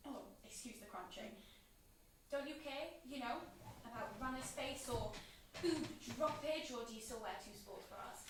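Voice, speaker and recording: An actress playing a needy, playful young best friend in a naturalistic audio drama, with an eager, informal way of talking. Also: female voice, sits high, normal speed, natural voice. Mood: teasing, chatty. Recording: very echoey, distant, faint noise.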